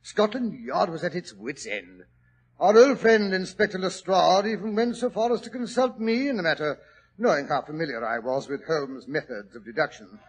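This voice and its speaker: An actor playing a polite, somewhat hesitant middle-aged doctor who narrates in a 1940s radio drama. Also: male voice, high-pitched, expressive, normal speed, husky, rough recording. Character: middle-aged male doctor, Holmes' friend, genial. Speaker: narrator and lead.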